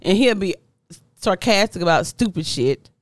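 A woman's voice: mid-range voice, lively intonation, moderate pace, no echo, clean studio sound. A middle-aged woman chatting casually as a podcast co-host, teasing and exasperated in a slangy way. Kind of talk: casual banter with partner. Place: indoors at home.

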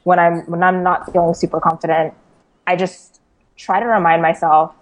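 A woman's voice: lowish voice, level tone, normal speed, poor audio. A woman from California, a journalist guest in an interview, answering thoughtfully. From California, USA. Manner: thoughtful, some "uh" and restarts.

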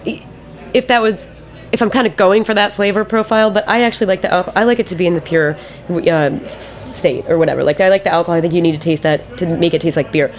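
Woman guest chatting casually, kinda low voice, talks quick, blunt and a bit sweary. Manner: plain-spoken, mildly sweary. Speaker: guest, ice cream company owner. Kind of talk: casual studio chat.

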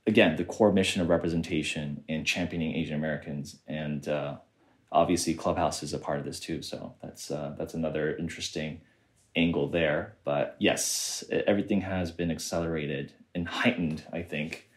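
A young adult man gives a thoughtful interview answer and pauses now and then on fillers. His voice is extremely low. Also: steady tone. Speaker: podcast guest.

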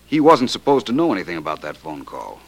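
An actor playing a suave, self-assured amateur detective in an old radio drama, urbane, dryly witty and commanding. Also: male voice, low voice, huge pitch swings, fast talker, heavily acted. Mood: playful, explanatory. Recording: noisy old radio play recording.

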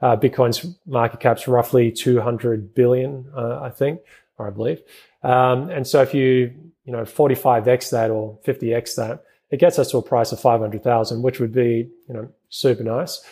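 A man speaks as an interview guest. His voice is dark and mellow with a husky edge. He talks fast, padding his answers with fillers and restarting phrases. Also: mid-range voice, steady tone. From New Zealand. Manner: rapid speech with many fillers and restarts. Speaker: guest, crypto investment executive.

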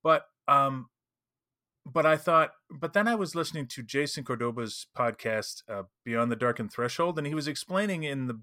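Bright-voiced man in casual podcast chat. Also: mid-range voice, lively intonation, normal speed, no echo, clean studio sound. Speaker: podcast co-host. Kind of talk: casual podcast chat about role-playing games.

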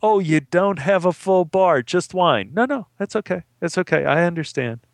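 A condescending busybody from a comic anecdote, played by a man. The manner is nosy and mock-sympathetic. The pitch swoops widely, and the recording is very dry. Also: animated, moderate pace, creaky, no room sound. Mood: mock sympathy. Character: condescending, nosy person belittling a waiter with fake sympathy.

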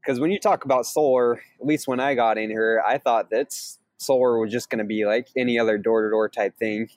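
An upbeat, energetic man co-hosts a casual chat and explains his trade with easy enthusiasm. His pitch sits in the middle range. The recording is dry and close, with no echo. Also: fairly quick. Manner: upbeat, energetic, laughs easily. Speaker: podcast co-host, sales trainer. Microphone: remote call, each on own mic.

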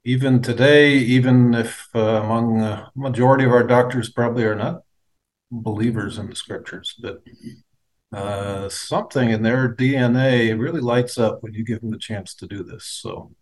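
An American man in his fifties, giving a reflective faith testimony as an interview guest, measured and hesitant with frequent fillers. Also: medium pitch, low-quality recording. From United States. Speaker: guest, aid organization leader.

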